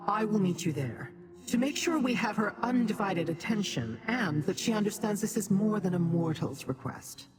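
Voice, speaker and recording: Haughty immortal Daedra played by a woman in deep reverb. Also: expressive, moderate pace, close to an everyday voice. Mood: commanding. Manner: formal, commanding, contemptuous. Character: haughty, imperious immortal female Daedra, disdainful of mortals. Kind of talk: video game character dialogue. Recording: long hall reverb, clear game music behind. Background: game music.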